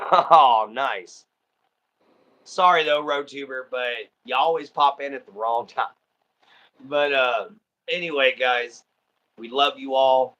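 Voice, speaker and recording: A man with a Texan drawl talks loosely to his viewers, wandering from thought to thought with plenty of ums. His voice has a bright, forward edge at an ordinary middle pitch. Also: slow, long pauses, muffled recording. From Southeast Texas. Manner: rambling, easygoing, many ums and uhs. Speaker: livestream host. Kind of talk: casual chat.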